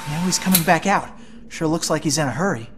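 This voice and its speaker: A woman's voice: deep voice, fast, husky. A woman playing a worried, determined teenage student in a video game cutscene. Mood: suspicious, tense.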